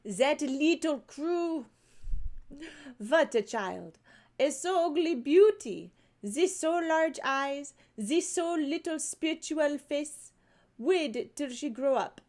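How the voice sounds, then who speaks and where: A woman's voice: high voice, expressive, slow pace, a little mellow, pitched above the performer's own voice, exaggerated voice. A woman voicing a good-natured little French teacher with a put-on French accent. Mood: gushing. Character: kindly excitable French teacher, man or woman.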